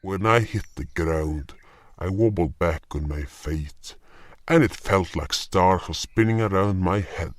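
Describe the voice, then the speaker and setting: A man's voice: deep voice, measured pace, slight background noise. A man reading scripted first-person narration for a fantasy audio story.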